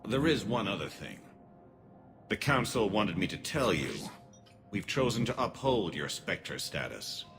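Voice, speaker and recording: A man playing a composed, pragmatic alien councilor in a video game, speaking in a formal, measured, diplomatic manner. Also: fairly low pitch, very animated, over music. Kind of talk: cinematic game dialogue.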